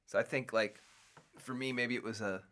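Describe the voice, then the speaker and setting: A man's voice: medium pitch, steady tone, moderate pace, sharp tone. A middle-aged man hosting his own show, rambling casually with many restarts and fillers. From United States. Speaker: podcast host. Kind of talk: casual solo talk.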